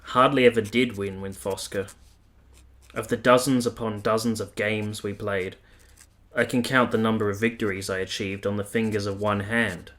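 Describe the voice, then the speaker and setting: A man's voice: fairly low pitch, fairly even intonation, normal speed, fairly bright tone, lightly acted. An actor playing a sober, disillusioned military man of the 1870s in a radio drama, reflective and formal in his wording. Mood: admiring, reflective. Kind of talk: period radio drama acting and narration.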